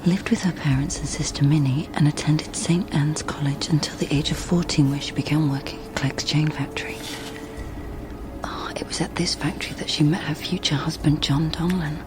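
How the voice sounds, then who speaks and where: Low-voiced wry woman investigator played by an actress. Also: fairly even intonation, moderate pace, in a whisper, natural voice, poor audio. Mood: absorbed, murmuring. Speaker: lead actor in a radio drama. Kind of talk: naturalistic radio drama acting.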